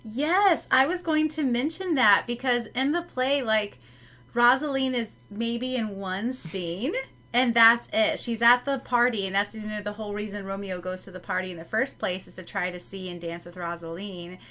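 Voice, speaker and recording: Podcast co-host, woman, bright tense voice, relaxed and rambling. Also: on the high side, moderate pace. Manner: relaxed, rambling, laughs between thoughts. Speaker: podcast co-host and audiobook narrator. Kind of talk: casual chat about a fanfiction chapter.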